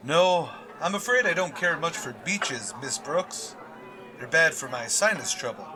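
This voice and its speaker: A man's voice: a little high, animated, a little slow, noisy background. A man playing a shy, oblivious biology teacher in an old-time radio comedy, speaking in a mild, hesitant, earnest way.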